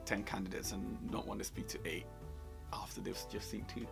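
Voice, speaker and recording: A man's voice: on the low side, fairly even intonation, brisk, creaky, music in the background. A man co-hosting a career advice talk, coaching conversationally and emphatically, repeating points to drive them home. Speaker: co-host giving job interview advice.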